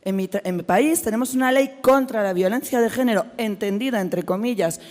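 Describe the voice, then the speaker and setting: A hoarse woman from Spain with a Spanish accent, speaking with passion at a forum and asking repeated rhetorical questions. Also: medium pitch, darkish tone. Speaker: forum participant. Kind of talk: spoken remarks at a panel forum. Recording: hall reverb in a large room.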